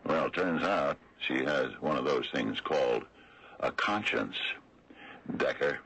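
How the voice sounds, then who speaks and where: Sardonic small-town lawman, extremely low husky voice, folksy deliberate delivery, old radio drama recording. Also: a bit bright, full character voice. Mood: somber, sardonic. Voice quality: very deep, gravelly, rough. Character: wry, slow-talking older small-town lawman exposing a cover-up. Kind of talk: 1970s radio mystery acting.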